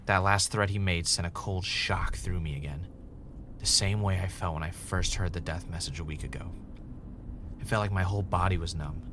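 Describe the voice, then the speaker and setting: A man's voice: sits low, steady tone, moderate pace, background noise. A man playing an anxious, sarcastic investigator in an audio drama. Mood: shaken, tense.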